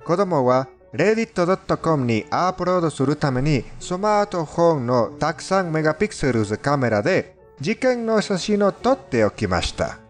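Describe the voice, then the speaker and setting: Animated high-pitched man with foreign accent, narrating. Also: a little fast, few pauses. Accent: foreign, non-native Japanese. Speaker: fandub narrator of a countdown video. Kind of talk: scripted narration of creepy countdown stories. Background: background music.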